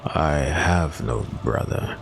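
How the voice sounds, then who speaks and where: An actor playing a gaunt, bitter, vengeful killer in a dark fantasy audio drama, gravelly and halting, with menace and sometimes a whisper. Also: male voice, extremely low pitch, slight background noise, full character voice. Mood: wary, cold. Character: gaunt, near-skeletal male killer, bitter, wounded, vengeful.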